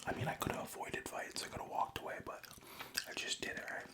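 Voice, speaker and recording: Softly whispering young man. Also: fast talker. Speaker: vlogger. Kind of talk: whispered ASMR storytelling.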